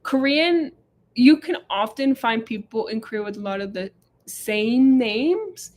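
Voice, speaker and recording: A woman's voice: a little high, lively intonation, unhurried, a little mellow. A young woman co-hosting a casual livestream, chatting with many fillers and reading viewer comments aloud. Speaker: livestream co-host. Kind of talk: casual live chat.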